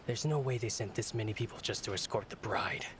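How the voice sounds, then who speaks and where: An actor playing a loyal, watchful young master's retainer in a video game. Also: male voice, lowish voice, a little fast, noisy background, natural voice. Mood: worried, resolute.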